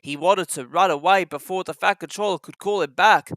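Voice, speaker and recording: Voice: mid-range voice, brisk, fairly bright tone, dry recording, studio quality. A storyteller reading a scripted children's story as narration.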